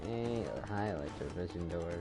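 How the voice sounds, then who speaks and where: A man casually commenting on a game as he plays, thinking aloud in a halting, rambling way. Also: low voice, loud music behind the voice. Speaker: gamer playing and commenting.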